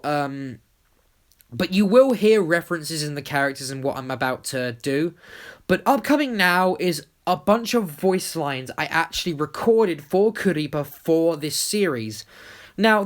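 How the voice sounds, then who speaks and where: A young adult video creator chatting casually and explaining things to viewers. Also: mid-range voice.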